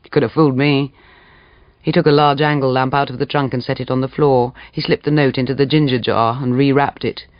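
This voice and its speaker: A man's voice: medium pitch, steady tone, a little fast, hardly any pauses, dry recording. Man narrating a dramatic story, dry dull sound. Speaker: audiobook reader.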